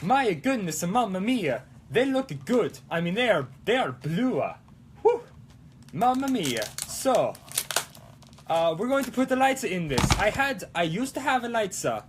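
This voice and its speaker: A man doing a high, excitable cartoon Italian guy. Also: a little slow, slight background noise. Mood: excited. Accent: mock Italian. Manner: exclamatory, playful, sing-song broken English. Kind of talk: live-streamed prop-making vlog in a put-on accent.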